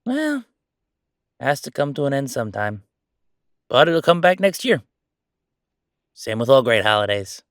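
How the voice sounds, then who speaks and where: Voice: mid-range voice, very animated, a little slow, pauses often, no room sound, studio quality. An actor playing a chatty, sardonic ghost roommate in a roleplay audio, talking in a casual, teasing, exclamatory way. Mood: wistful, easygoing. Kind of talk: roleplay audio acting, conversational.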